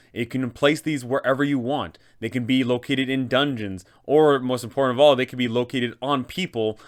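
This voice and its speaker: Man, mid-pitched, enthusiastic explainer, emphatic punchy phrasing, tabletop advice host. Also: fairly bright tone. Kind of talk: enthusiastic scripted explainer for game masters.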